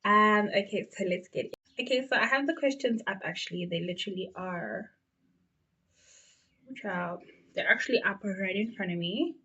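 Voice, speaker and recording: A woman's voice: mid-range voice, moderate pace, lo-fi sound, a little hiss or hum. Chatty young South African woman vlogger. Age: 20s. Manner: chatty, warm, casual, lots of fillers. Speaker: beauty vlogger. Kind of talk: casual get-to-know-me chat.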